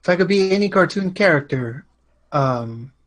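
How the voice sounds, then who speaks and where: A man's voice: high voice, expressive, moderate pace. Man chatting casually as a guest. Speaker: guest on a live online show. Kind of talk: casual chat.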